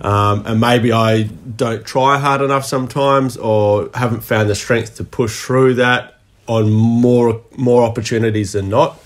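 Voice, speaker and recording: A young father, talking things over in a measured, reflective way with his partner. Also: mid-range voice, fairly even intonation. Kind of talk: casual couple chat.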